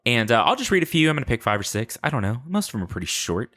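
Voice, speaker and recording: A man's voice: medium pitch, big pitch swings, rapid-fire, a bit bright. A man hosting a podcast solo in a casual, unscripted way, chatting playfully and rambling with self-deprecating humor. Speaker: podcast host.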